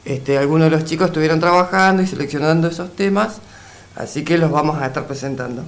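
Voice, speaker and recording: Higher-voiced man co-hosting radio over music. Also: fast talker. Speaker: radio co-host. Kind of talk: casual community radio hosting.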